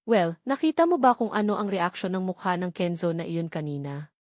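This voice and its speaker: A woman's voice: on the low side, moderate pace, a little mellow, no echo, lightly acted. Filipino lady doing a cocky teasing young guy in a story, mixes in english, dry sound. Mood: amused. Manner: code-switches into English. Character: confident, teasing young man, the heroine's boyfriend. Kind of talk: storybook dialogue.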